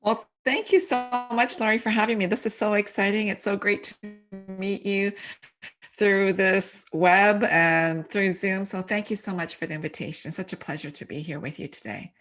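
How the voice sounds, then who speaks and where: A woman's voice: mid-range voice, steady tone, moderate pace, a bit bright, faint music behind. A middle-aged woman speaking conversationally as a podcast guest, telling personal stories as a professor and author would. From Canada, born in Korea. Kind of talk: conversational interview, personal storytelling. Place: remote video call.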